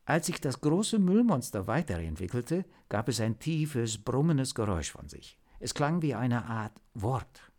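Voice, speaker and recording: Guy doing a hesitant female agent in an audiobook, thoughtful, tentative, higher lively pitch. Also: measured pace, few pauses.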